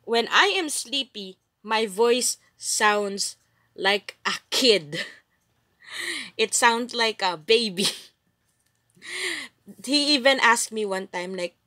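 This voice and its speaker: A young woman with a Filipino accent narrates her gameplay live, playful and quick to giggle. Her voice is bright and sits a little high, and she talks in an unhurried way. The sound is dry and close, with no room around it. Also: expressive, dry recording. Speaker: gaming streamer. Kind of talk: live gameplay commentary and chat.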